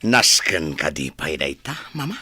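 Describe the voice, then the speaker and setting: Bitter, husky adopted son. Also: male voice, a bass voice, sing-song, measured pace, pushed and bright, full character voice. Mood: bitter, demanding. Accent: Ilocano. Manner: confrontational, insistent. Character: resentful adopted adult son, demanding and bitter. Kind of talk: Ilocano television drama acting.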